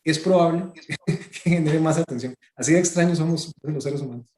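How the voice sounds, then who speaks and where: A man answering audience questions on a video call, fast and hesitant, often repeating words. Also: fairly high pitch, a little mellow, reverberant. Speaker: webinar speaker.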